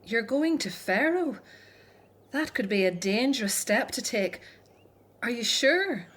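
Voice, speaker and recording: A woman's voice: a little high, lively intonation, takes it slowly, a bit bright, full character voice. An actress playing a devout, caring Hebrew woman, a prophet's older sister, in a radio drama. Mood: concerned.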